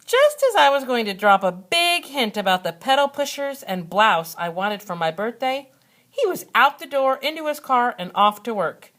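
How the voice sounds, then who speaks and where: A woman reading a children's storybook aloud like a schoolteacher, with expressive, dramatic narration and deliberate phrasing. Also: fairly high pitch. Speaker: second grade teacher reading aloud.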